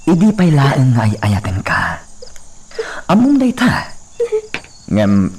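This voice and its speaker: A man's voice: mid-range voice, huge pitch swings, slow pace, background noise, full character voice. A man playing a lovesick, domineering young master in a melodramatic Ilocano radio drama. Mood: ardent, pleading. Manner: melodramatic, pleading.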